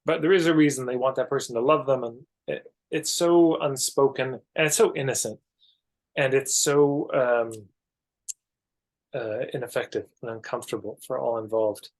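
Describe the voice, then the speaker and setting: Man, medium pitch, reflective podcast guest, conversational explanation, measured yet emphatic. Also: fairly even intonation.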